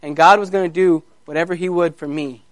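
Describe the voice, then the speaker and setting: A man voicing a brave, kindly man of faith who speaks gently and reassuringly. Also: on the high side, fairly even intonation, a little fast, no echo, lightly acted. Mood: earnest.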